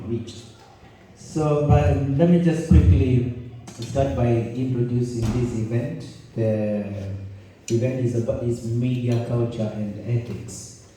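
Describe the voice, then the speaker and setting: A man's voice: medium pitch, dark tone, far from the mic, a little hiss or hum. Kenyan man speaking in an echoey hall. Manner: chatty, jokey, thinks aloud with many restarts. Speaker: event host and journalist. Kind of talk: conference opening speech. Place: conference room. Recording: roomy hall, mic set back.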